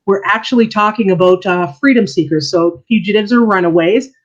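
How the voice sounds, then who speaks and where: A woman's voice: mid-range voice, brisk. A woman historian explaining church history in an interview, earnest and laughing easily. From Canada. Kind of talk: casual interview answers. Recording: dull lo-fi sound.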